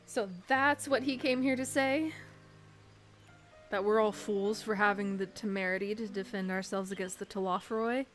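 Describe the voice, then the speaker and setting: A solemn, eloquent scholar from a fantasy game, read aloud by a woman. The medium-pitched voice is measured, earnest and formal, with faint music behind. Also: steady tone, fairly bright tone, natural voice. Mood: grave resignation. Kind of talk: reading game dialogue aloud in character.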